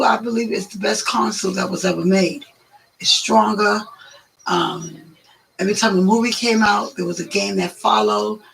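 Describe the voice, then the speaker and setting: Hoarse, gravelly chatty woman. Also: mid-range voice, a bit bright, slight background noise, low-quality recording. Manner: chatty, rambling, drawn-out. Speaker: guest, host's mother. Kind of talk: casual storytelling chat.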